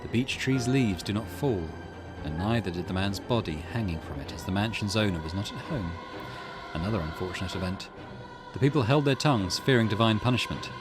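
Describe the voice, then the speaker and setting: Man, game host, expressive read-aloud and commentary, deep-ish pitch, lively intonation, music underneath. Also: brisk. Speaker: gaming video host.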